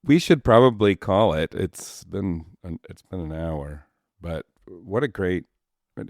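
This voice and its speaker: A man's voice: deep voice, no echo, clean studio sound. A man in his fifties hosting an interview podcast, easygoing and self-deprecating. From Texas, United States. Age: 50s. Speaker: podcast host. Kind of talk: casual interview chat.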